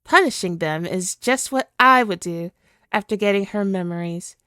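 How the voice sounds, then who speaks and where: A woman's voice: medium pitch, pushed and bright, clear, resonant tone, no echo, clean studio sound, lightly acted. A woman playing a spirit villain possessing a woman's body, obsessive and tormented, in a theatrical, brooding ASMR roleplay monologue. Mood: cold, taunting. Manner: slow, theatrical, brooding, dwelling on words.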